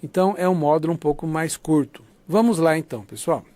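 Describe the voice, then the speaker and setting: The fairly high-pitched Brazilian man you would hear leading a university short course, explaining things conversationally and checking that students follow. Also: a little fast, warm tone, dry recording. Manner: didactic, conversational, often seeks confirmation with tags. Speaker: lecturer of an academic writing course. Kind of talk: online lecture, informal explanation.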